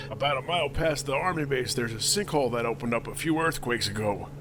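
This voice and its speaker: A man's voice: mid-range voice, brisk, lightly acted. The smarmy captain who pulls his truck over in a homemade apocalypse radio play, acted by a man, drawling threats through plainly audible background noise. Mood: casual, callous. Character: leering, bullying male militia captain, crude and menacing. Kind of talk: audio drama script reading. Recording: noisy home recording.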